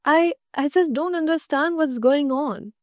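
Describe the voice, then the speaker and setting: A woman's voice: high voice, a little slow, a little mellow, no echo, clean studio sound, pitched above the performer's own voice. A woman voicing a teasing, mock-serious schoolboy in a read-aloud story. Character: teasing schoolboy older brother.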